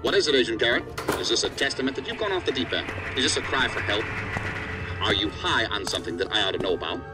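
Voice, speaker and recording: Man playing a fast-talking, hard-bitten agency boss. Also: medium pitch, expressive, over loud music, close to an everyday voice. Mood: angry, exasperated. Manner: stern, profane, scolding. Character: angry, hard-bitten male assistant director of investigative agency. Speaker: actor in audio drama. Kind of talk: dramatic audio drama acting.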